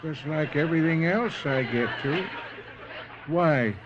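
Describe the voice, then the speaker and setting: An actor playing a vengeful, taunting body-stealing professor living in a ventriloquist's dummy, in a radio drama. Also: male voice, fairly high pitch, muffled recording, background noise, lightly acted. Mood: mock childish, needling. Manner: taunting, theatrical, slow and deliberate.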